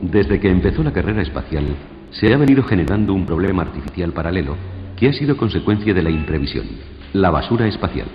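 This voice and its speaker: A man with an accent from Spain reading scripted documentary narration for radio in a formal, measured way. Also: low voice, lively intonation, faint music behind. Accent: Spanish, from Spain. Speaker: radio programme presenter. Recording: dull radio playback in echoey hall.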